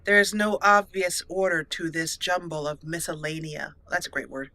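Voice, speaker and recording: A woman's voice: fairly low pitch, moderate pace, bright, forceful tone. A woman hosting a let's play, chatting through her gameplay in an upbeat way and reading the game's text aloud as roleplay narration. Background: faint game music.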